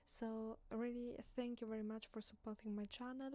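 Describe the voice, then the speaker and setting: An Italian woman, a native Italian speaker who hosts an ASMR channel, rushes softly through her outro. Her voice is mellow and warm and sits a touch high, and she speaks in a half whisper. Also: flat delivery, no echo.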